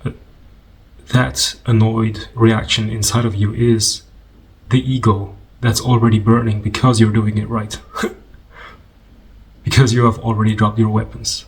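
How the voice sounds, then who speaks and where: A man leading a guided meditation in an informal, conversational way, unhurried and gently instructing, with pauses. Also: fairly low pitch, level tone, low-quality recording.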